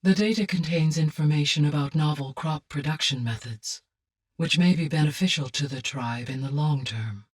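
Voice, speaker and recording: An actress playing a calm, benevolent female artificial intelligence in a video game, speaking in formal, measured, precise phrases. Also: sits low, breathy edge, distant mic. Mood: composed, explanatory. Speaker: game character, advisor. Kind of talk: scripted video game dialogue.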